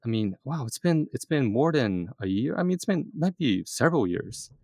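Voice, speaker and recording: A man hosting a casual interview, excitable and full of fillers and restarts. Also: mid-range voice, moderate pace, no room sound, clean studio sound. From East Asia. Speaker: podcast host.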